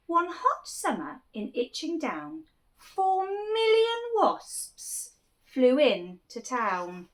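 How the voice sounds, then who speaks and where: Woman, children's storyteller, very slow deliberate pace, lively swooping intonation, expressive rhyming read-aloud. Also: high voice. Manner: expressive, deliberate, dramatic emphasis. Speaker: storyteller reading a picture book to children. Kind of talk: animated children's story reading, rhyming verse.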